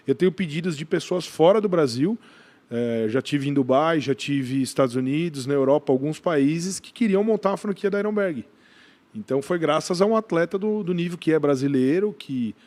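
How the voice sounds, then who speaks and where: A man's voice: fairly high pitch, normal speed, a little mellow. A man with a Brazilian accent answering as a podcast guest in casual conversation, often restarting phrases, repeating words and adding short tag questions. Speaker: podcast guest, gym brand owner.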